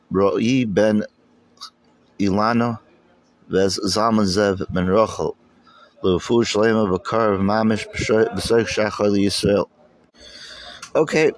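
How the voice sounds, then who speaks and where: A man's voice: on the low side, no echo, rough recording. A man with a congested voice from a head cold, reading a religious text aloud and explaining it as a teacher. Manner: reads aloud, then explains in plain words, with Hebrew terms mixed in. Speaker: Torah teacher.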